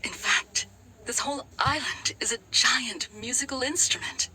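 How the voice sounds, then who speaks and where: A woman playing a serene, dreamy young woman in a video game, speaking in a deliberate, lyrical way. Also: a little high, pushed and bright. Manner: slow, deliberate, lyrical delivery. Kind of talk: English video game voice acting.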